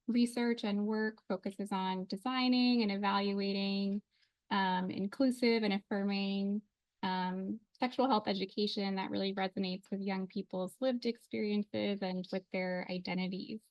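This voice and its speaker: A woman's voice: medium pitch, dry recording, rough recording. A woman researcher giving a casual, friendly seminar talk with many hesitant fillers. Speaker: faculty researcher.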